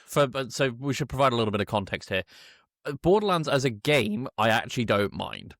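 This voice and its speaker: A man's voice: medium pitch, big pitch swings, fairly quick, no room sound, studio quality. A man hosting a podcast, chatting casually as he reviews films. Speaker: podcast host. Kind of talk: casual film review chat.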